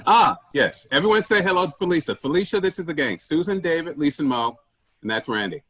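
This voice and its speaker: A man's voice: fairly high pitch, animated, quick pace, fairly bright tone, dry recording. A man in a staged comedy reading playing a pushy, self-important office boss who preaches efficiency emphatically. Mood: breezy.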